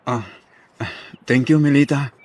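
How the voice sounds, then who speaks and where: A man's voice: mid-range voice, steady tone, unhurried, darkish tone, dry recording, close to an everyday voice. A man playing a shy, humble young suitor in an audio drama, with a hesitant, polite manner. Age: young adult. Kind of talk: Ilocano audio drama acting.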